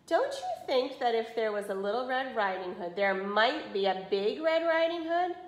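Woman playing a feisty, booming storybook grandma. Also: very animated, moderate pace, muffled recording. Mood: scolding, defiant. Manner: booming, scolding, sarcastic. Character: feisty, bossy old farmer grandma. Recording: roomy home video recording.

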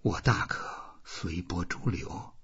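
A man's voice: low-pitched, takes it slowly, a bit bright, breathy edge, muffled recording, heavily acted. A man voicing a lonely old fisherman who murmurs to himself in an audiobook. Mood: weary, resolved.

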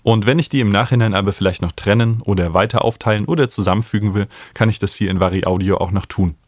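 A man's voice: lowish voice, fairly even intonation, quick pace, darkish tone, no room sound. A man in his thirties explaining step by step as a tutor, recorded close on a studio microphone. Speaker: host and music production tutor. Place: small home studio room, indoors. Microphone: studio microphone close on a stand.

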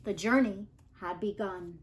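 A woman in her thirties reading a picture book aloud to children in an expressive, deliberate storytelling style. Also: medium pitch, a little hiss or hum, low-quality recording. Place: living room at home, indoors.

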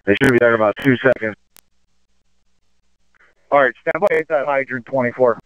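Male fire radio operator, mid-pitched, band-limited two-way radio sound, emergency traffic delivery. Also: a little fast, a bit bright, dry recording, lo-fi sound.

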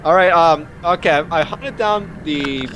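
A man's voice: fairly high pitch, measured pace, background noise. A man with an American accent hosting a casual podcast chat, talking with lots of fillers and restarts and laughing often. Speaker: podcast host. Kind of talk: casual group chat over voice call.

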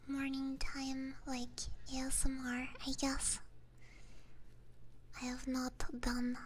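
A woman livestreaming ASMR in a soft breathy whisper, chatting casually with viewers close to a binaural microphone. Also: high-pitched, monotone, takes it slowly, slight background noise. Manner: casual, chatty, frequent swearing. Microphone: binaural ear microphone, close up.

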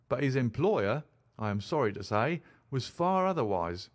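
A man's voice: medium pitch, close to an everyday voice. A man voicing a distressed, respectable mother who keeps a boarding house, dramatic and formal, in an audiobook reading.

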